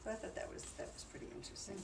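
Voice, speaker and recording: A woman giving an informal presentation about a trip to a group, explaining things in a conversational way. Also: rapid-fire. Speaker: presenter reporting on a charity trip. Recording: distant mic in noisy, echoey room.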